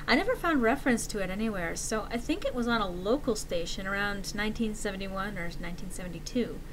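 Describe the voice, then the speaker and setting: A woman voicing an excitable forum poster reminiscing about an eerie show, animated and emphatic in a dramatic reading. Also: mid-range voice, fast talker, slight background noise, lightly acted, low-quality recording. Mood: excited recall.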